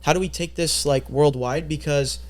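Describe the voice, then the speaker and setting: A young man from Canada, a podcast guest, telling stories in relaxed slang with plenty of fillers. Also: fairly high pitch, moderate pace. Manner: laid-back storytelling with lots of fillers and slang. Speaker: podcast guest, marketing agency owner. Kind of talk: casual podcast chat.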